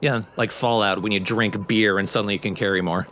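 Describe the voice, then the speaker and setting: A man's voice: lowish voice, fairly even intonation, brisk, fairly bright tone, a little hiss or hum. A man hosting a let's play with casual, explanatory game commentary.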